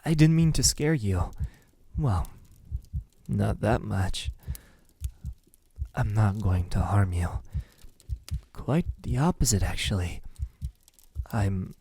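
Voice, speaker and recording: A man playing a possessive, lovesick vampire captor in an ASMR roleplay, speaking intimately in a husky voice that often drops into a whisper. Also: fairly low pitch, expressive, dark tone, no room sound, exaggerated voice. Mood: teasing, condescending. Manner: slow, lingering, halting delivery.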